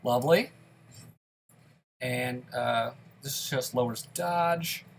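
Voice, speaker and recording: A man's voice: medium pitch, takes it slowly, creaky. A young man giving casual, self-deprecating play-by-play commentary on a dungeon game while a bit sick. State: slightly sick, occasional coughs. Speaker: gaming YouTuber.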